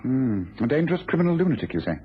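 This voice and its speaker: Guy doing a sharp-witted english detective, radio play, quick and precise, swoopy intonation, slightly noisy old tape. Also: medium pitch, sing-song, natural voice. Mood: knowing. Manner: brisk, precise, knowing. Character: shrewd, self-assured male detective. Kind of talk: British radio drama acting. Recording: dull old radio recording, faint noise.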